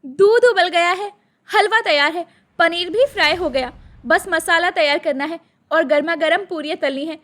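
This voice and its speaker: Very high-pitched, harried young daughter-in-law, acted by a woman. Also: normal speed, a bit bright. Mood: busy, eager. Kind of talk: dramatised Hindi moral-story acting.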